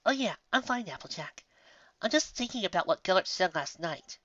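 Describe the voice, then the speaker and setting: A woman's voice: mid-range voice, animated, moderate pace, a bit bright, highs cut off, pitched above the performer's own voice. Woman doing an earnest, studious young unicorn mare. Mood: earnest, pensive. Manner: earnest, explanatory.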